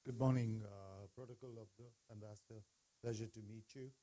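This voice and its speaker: Mellow-voiced businessman from Uganda. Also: lowish voice, fairly even intonation, a little fast. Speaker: real estate executive. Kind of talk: short address to an audience.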